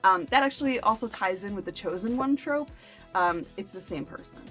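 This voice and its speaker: A woman's voice: mid-range voice, lively intonation, brisk, a bit bright, soft background music. A woman chatting casually to camera as a book review vlogger, with playful, self-deprecating asides.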